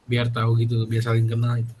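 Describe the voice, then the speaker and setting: A young man hosts a student podcast. His mid-pitched voice stays fairly monotone while he chats informally. There is light noise in the online recording. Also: quick pace. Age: young adult. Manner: informal, slangy, frequent "gitu kan" tags. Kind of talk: casual podcast chat.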